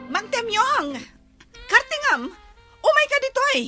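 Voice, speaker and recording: An actress in a radio drama playing a bossy, impatient, haughty young madam who snaps out orders. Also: female voice, very high voice, very animated, slow pace, bright, forceful tone, soft background music, heavily acted. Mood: impatient, commanding. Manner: imperious, snapping orders. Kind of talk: Ilocano radio drama acting.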